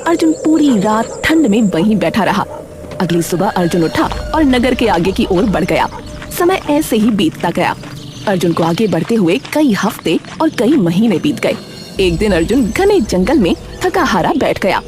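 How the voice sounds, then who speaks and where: Expressive woman narrating a story. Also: medium pitch, normal speed, background noise. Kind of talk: scripted cartoon story narration. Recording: music behind the voice.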